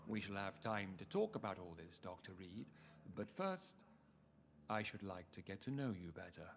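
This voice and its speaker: An actor playing an ancient aristocratic British vampire lord in a video game, speaking in a formal, measured, grandiloquent manner. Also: male voice, low voice, big pitch swings. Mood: courteous, imperious. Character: ancient aristocratic male vampire lord, founder of a secret club. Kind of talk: scripted video game dialogue.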